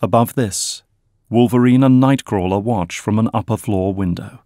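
A man narrating an audio drama in a measured, scripted way. His voice is low, and the recording is dry and close. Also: warm tone, no echo.

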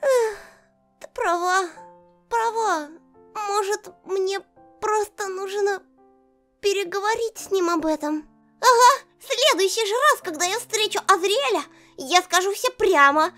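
A woman's voice: very high-pitched, measured pace, fairly bright tone, soft background music. A woman voicing an anxious, stammering female lizard scientist in a cartoon comic dub. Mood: nervous. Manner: nervous, stammering. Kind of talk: fan comic dub acting.

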